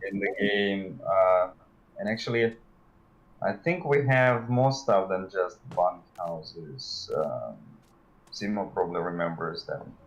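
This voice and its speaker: A man chatting casually as a guest game developer, speaking tentatively and haltingly. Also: sits low, muffled recording. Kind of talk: casual chat.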